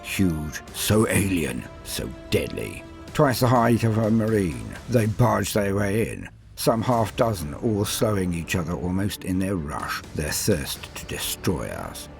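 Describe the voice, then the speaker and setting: Man voicing a very deep, gravelly veteran space marine captain. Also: very animated, over music, exaggerated voice. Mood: cold, proud. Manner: solemn, measured, dramatic pauses. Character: battle-hardened veteran space marine captain, proud, coldly resolute. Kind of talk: dramatic first-person sci-fi war monologue.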